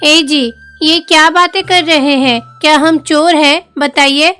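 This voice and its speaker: A woman's voice: high-pitched, fairly even intonation, slow, pushed and bright, soft background music, exaggerated voice. A woman voicing an emotional village wife in a cartoon family drama. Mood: indignant. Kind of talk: dramatic cartoon story dialogue.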